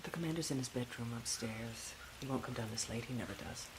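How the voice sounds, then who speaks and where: An actress playing a stern, controlled mistress of a household in an audio drama, giving secret orders in a terse, matter-of-fact way. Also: female voice, a bass voice, brisk, heavy background noise. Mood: secretive, controlled.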